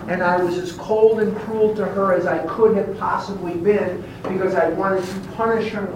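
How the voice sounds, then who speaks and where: A man with a Chicago American accent giving a personal testimony speech, emphatic and deliberate, repeating phrases for effect. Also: high voice. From Chicago, USA. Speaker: guest speaker at recovery meeting. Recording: very echoey room, distant mic, clear background noise.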